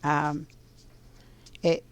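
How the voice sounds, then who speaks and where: A woman with a scratchy voice from pollen, doing a casual oracle card reading in an unhurried, reflective way. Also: low voice, monotone. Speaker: spiritual card reader.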